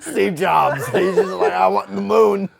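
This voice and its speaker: A man's voice: high voice, measured pace, gravelly, crowd noise. A young man co-hosting a podcast, riffing comedically in casual chat and acting out imagined quotes. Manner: jokey, acts out imagined quotes. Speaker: podcast co-host.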